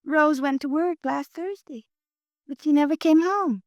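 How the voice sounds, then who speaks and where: A coy young dancer. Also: female voice, sits high, measured pace, a little mellow, no echo, dull audio.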